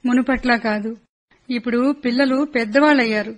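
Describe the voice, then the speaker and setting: A woman's voice: on the high side, steady tone, fairly quick, close to an everyday voice. An actress playing a supportive middle-aged wife and mother in a radio play. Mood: concerned. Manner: worried then supportive, soft. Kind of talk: radio drama acting.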